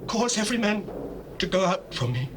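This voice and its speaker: A man's voice: high voice, big pitch swings, normal speed, a little hiss or hum. An actor playing an emotional governor of Egypt addressing his family in a dramatized scripture on old cassette tape. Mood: overcome, tender. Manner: fervent, declamatory. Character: Joseph, emotional lordly governor of Egypt, tender brother. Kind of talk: dramatized scripture, theatrical stage acting.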